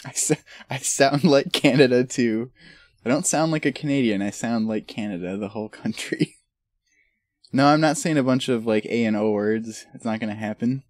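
A man's voice: mid-range voice, moderate pace, a little mellow, no room sound, clean studio sound. A man streaming a video game, chatting casually and joking in a rambling way. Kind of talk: casual chat while gaming.

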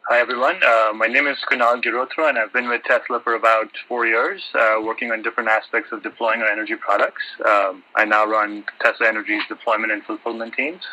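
A man's voice: fairly low pitch, flat delivery, brisk, hardly any pauses, sharp tone, dull audio, a little hiss or hum. A man presenting an update on an earnings call as an energy operations executive.

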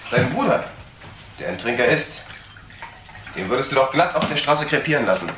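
A man playing a bitter, taunting nephew in a German crime radio play, reproachful and sardonic with pointed pauses. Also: mid-range voice, animated, a bit bright, natural voice. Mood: scornful. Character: bitter, taunting young nephew of an unloved aunt. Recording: roomy, clearly noisy old audio.

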